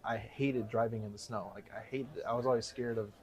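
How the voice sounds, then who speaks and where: Man, thirties, fast talker, rambling, self-correcting, casual interview guest. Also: mid-range voice, steady tone, low-quality recording. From Chicago area, USA. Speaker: guest, real estate investor.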